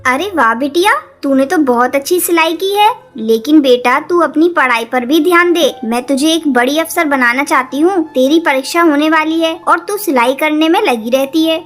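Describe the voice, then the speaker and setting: A woman's voice: sits high, moderate pace, hardly any pauses, fairly bright tone. A woman voicing a caring, agreeable mother bird in a children's cartoon, motherly and indulgent. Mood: caring, admonishing. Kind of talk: Hindi children's cartoon voice acting.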